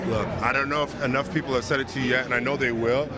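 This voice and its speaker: A man's voice: mid-range voice, fairly even intonation. A man from Toronto, upbeat and effusive, interviewing a guest on an outdoor red carpet. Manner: upbeat, effusive, talks fast in bursts. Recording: loud crowd outdoors.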